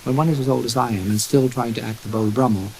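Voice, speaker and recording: A man's voice: mid-range voice, steady tone, quick pace, warm tone, close to an everyday voice, poor audio. A man voicing a dapper, middle-aged teasing friend in an audiobook, urbane and knowing, on a hissy tape. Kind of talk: audiobook character dialogue. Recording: restored tape with clear hiss.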